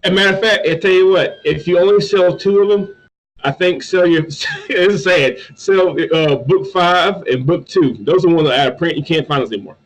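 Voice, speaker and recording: A man from North Carolina talks as a guest in a relaxed interview, tripping over his words and repeating them often. His voice sits a bit higher than most men's. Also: moderate pace, rough recording. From North Carolina, USA. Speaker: guest, toy reviewer. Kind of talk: casual interview chat.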